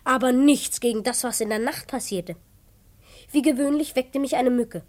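A woman's voice: high-pitched, moderate pace, natural voice. A woman playing an eager, self-important twelve-year-old boy detective in a children's radio play, earnest and easily indignant. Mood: secretive narrating. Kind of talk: children's radio play acting and first-person narration.

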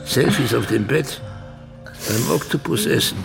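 An actor playing an older, vanished father as a haunting inner voice in a radio drama, speaking in terse, hushed interjections. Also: medium pitch, lively intonation, measured pace, over music. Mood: disapproving. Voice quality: very gravelly. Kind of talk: intimate radio drama acting.

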